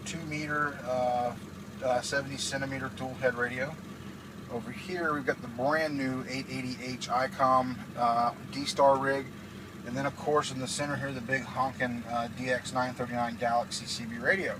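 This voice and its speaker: A man informally explaining in a casual, hands-on walk-through as a hobbyist demonstrating his own gear. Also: medium pitch. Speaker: amateur radio hobbyist presenting his truck installation. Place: outdoors beside a truck, later inside its cab. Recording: noisy handheld vehicle video, ambient noise.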